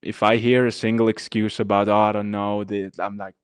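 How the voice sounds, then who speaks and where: A man's voice: on the low side, little pitch movement, fairly quick, clean tone, no room sound. A man from the mountains of northern Italy, a guest on a podcast, talking casually with many fillers and restarts. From Dolomites region of northern Italy. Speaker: guest, activist rapper. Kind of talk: casual interview talk.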